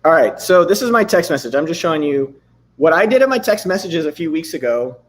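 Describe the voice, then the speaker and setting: A man in his thirties hosting a live stream, chatting loosely and swearing casually, a bit hoarse from losing his voice. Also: a little high, lively intonation, a little fast. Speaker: livestream host. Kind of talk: casual livestream chat with screen demos.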